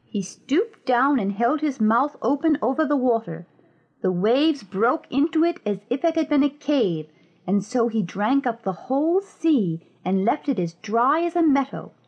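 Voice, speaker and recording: High-voiced woman narrating a fairy tale. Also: animated, a little slow, breathy edge, dull audio. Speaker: audiobook narrator. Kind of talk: scripted fairy-tale storytelling.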